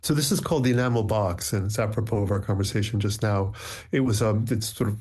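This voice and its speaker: A man whose first language is Ukrainian, a poet speaking thoughtfully and reflectively in measured phrases as an interview guest. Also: fairly low pitch, darkish tone, breathy edge. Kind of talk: thoughtful conversation and poetry reading.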